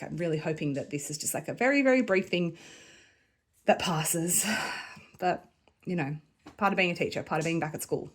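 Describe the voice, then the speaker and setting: A woman's voice: on the low side, darkish tone. Chatty woman vlogging. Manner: chatty, lots of "like" and little laughs. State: slightly croaky with a cold. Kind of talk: casual vlog chat.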